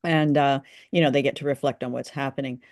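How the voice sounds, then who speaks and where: A woman's voice: extremely low pitch, fairly even intonation, quick pace, a little mellow, dry recording. Woman, casual co-host discussion, thoughtful hesitant phrasing, good-humoured, very dry sound. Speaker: co-host of an old-time radio discussion show.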